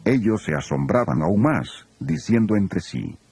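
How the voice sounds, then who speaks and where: A man with a gravelly voice narrating scripture in a grave, storytelling delivery. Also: lowish voice, very animated, a little slow, darkish tone, soft background music.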